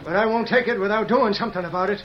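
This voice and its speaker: An actor playing a desperate, folksy small-town inventor and shopkeeper in an old-time radio western. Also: male voice, high-pitched, rapid-fire, natural voice. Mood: bitter, resolved. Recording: muffled old radio drama recording.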